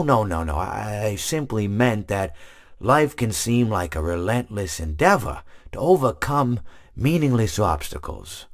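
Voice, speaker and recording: A rambling, long-winded animatronic hippo. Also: male voice, lowish voice. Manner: rambling, many restarts, meandering anecdotes.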